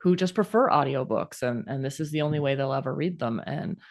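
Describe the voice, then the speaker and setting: A woman author hosts a relaxed conversation. She talks with open enthusiasm and often drops in quick "yes" and "yeah" as she agrees. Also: rumbling low. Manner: warm, enthusiastic, full of affirming "yes" and "yeah". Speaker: author and show host. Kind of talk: casual interview chat.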